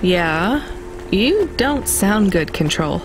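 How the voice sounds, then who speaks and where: A woman's voice: medium pitch, big pitch swings, slow, music in the background, rough recording. An actress playing a young woman delivery driver in a video game, a plain-spoken, guarded newcomer to the city. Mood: concerned, weary.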